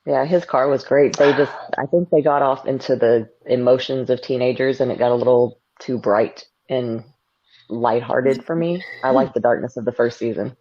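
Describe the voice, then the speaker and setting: A deep-voiced woman guest who chats in a relaxed way and lists things off. Her voice comes through a somewhat dull, muffled stream connection. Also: moderate pace. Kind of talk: casual chat on a live stream.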